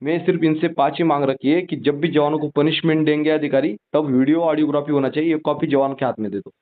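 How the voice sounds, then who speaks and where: A man's voice: on the high side, fairly even intonation, quick pace. A man, speaking insistently and with grievance as he pleads his case at length in a phone conversation. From Maharashtra, India. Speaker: former soldier and campaigner for soldiers' rights. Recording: narrow tinny phone-call line.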